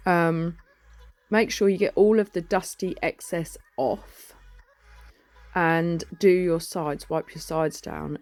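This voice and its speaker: A woman with a husky voice giving a chatty, informal voice-over for a nail tutorial, recorded on a phone. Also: low-pitched, darkish tone, dry recording. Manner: chatty, informal, self-deprecating. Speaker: nail artist and educator.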